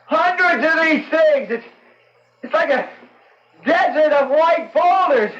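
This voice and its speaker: An actor in an old-time radio drama playing an excitable, defensive man lost in the wilderness, tense in manner. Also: extremely high pitch, takes it slowly, full character voice. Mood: amazed, excited. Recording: muffled vintage radio drama recording, faint noise.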